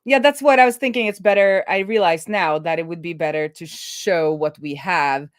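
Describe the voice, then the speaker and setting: A woman with a fairly low voice hosts a casual coding livestream. She talks informally, like a developer telling things to regular viewers, with animated storytelling. The sound is close and dry. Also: normal speed, dry recording. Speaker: livestream host and web developer. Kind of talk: casual live coding stream chat.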